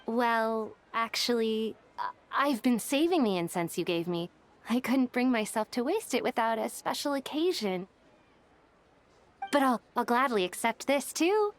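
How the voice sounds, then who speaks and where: A woman's voice: fairly high pitch, lively intonation, a little slow. A woman voicing a shy, sentimental, bookish young woman in a video game, speaking with careful, hesitant phrasing. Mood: bashful. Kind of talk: animated video game voice acting.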